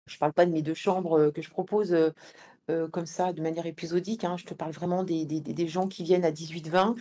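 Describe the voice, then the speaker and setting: A woman being interviewed as a guest, speaking conversationally and haltingly, often repeating words as she builds her answers. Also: low-pitched, fairly even intonation, warm tone. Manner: hesitant, halting delivery with many repeated words. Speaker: interview guest, founder and manager of an event venue.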